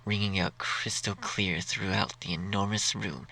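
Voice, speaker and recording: A low-pitched man narrates a scripted story. His voice is bright and forceful with a creaky edge, over faint music. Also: steady tone, a little slow, rough recording.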